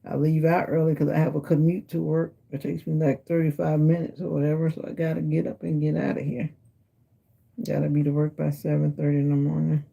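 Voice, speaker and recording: A low, gravelly woman's voice, husky and raspy from a sinus infection, talks casually and drowsily to her livestream viewers. She sounds worn out after an early workday and teases people in the chat by name. Her tone is dark and mellow, heard through a phone at home. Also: little pitch movement, fairly quick, lo-fi sound. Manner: chatty, teasing viewers by name. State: sleepy and exhausted. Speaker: livestream host. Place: at home indoors.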